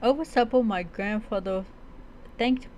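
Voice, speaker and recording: A woman's voice: medium pitch, unhurried, a little mellow, dull audio, slight background noise. A woman reading a first-person adventure story aloud as a role-play storyteller, stumbling and repeating words as she goes.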